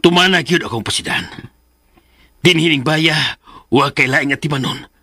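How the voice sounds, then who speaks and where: A man's voice: on the high side, normal speed, sharp tone, breathy edge, no room sound, studio quality. A man acting a worried, protective parent in a radio drama, grave and insistent, fearful of bad omens. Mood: worried, pleading.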